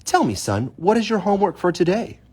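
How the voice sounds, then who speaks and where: A man's voice: high-pitched, sing-song, fairly quick, a little mellow, breathy edge, voice pushed up, exaggerated voice. A man voicing a firm, lecturing father bear in a children's storybook. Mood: stern lecturing. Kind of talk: children's storybook read aloud.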